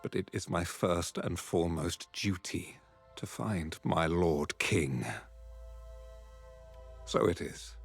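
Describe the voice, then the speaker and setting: A noble knight in a fantasy game. His voice is deep, husky and slow, with formal, chivalrous earnestness. Faint music plays behind him. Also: full character voice. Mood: earnest, wistful. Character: noble, loyal, courtly male knight. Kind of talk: fantasy game cutscene acting.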